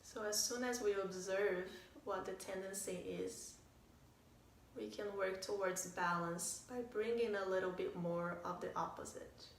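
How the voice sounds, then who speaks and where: A woman guides a meditation in a calm, gentle manner, with a level, barely varying melody and long pauses between phrases. She speaks very slowly, giving soft instructions. The recording is echoey, with faint noise around her voice. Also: mid-range voice. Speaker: meditation teacher. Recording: roomy, distant mic, faint noise.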